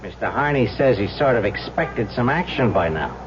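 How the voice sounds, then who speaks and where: An actor playing a cocky mob underling, taunting and boastful, in an old radio crime drama. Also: male voice, mid-range voice, lively intonation, normal speed, soft background music, natural voice. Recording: muffled, hissy old radio recording.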